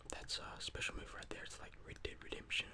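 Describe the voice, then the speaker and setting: A young man giving rambling, casual, self-deprecating ASMR gameplay commentary in a breathy whisper, close to the microphone. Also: a little fast. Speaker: gaming video host. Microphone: close microphone, voice-over on gameplay.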